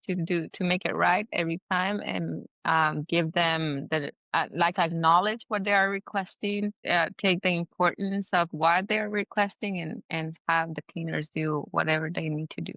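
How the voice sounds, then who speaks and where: Lowish-voiced woman, casual podcast guest. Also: steady tone, normal speed, few pauses, no echo, dull audio. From Guatemala. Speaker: podcast guest, business owner. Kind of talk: casual interview chat.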